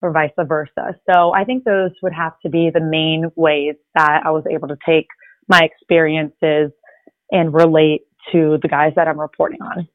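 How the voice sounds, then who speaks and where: A woman's voice: sits low, flat delivery, normal speed, no echo. A young adult woman, a native Spanish speaker, talking as a sports reporter guest in an earnest, rambling way with light laughs. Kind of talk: casual conversational interview. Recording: dull lo-fi sound.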